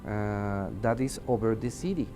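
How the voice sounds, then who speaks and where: A man with a Mexican accent, a native Spanish speaker, giving testimony in an interview and haltingly searching for words in his second language. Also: on the low side, music in the background. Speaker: air traffic controller giving testimony. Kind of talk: interview testimony, unscripted recounting.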